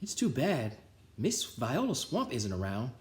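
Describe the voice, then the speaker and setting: A man voicing excitable, mischievous schoolkids from a children's storybook. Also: mid-range voice, unhurried, pitched above the performer's own voice. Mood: excited. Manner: exclaiming, eager. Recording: roomy, echoing sound.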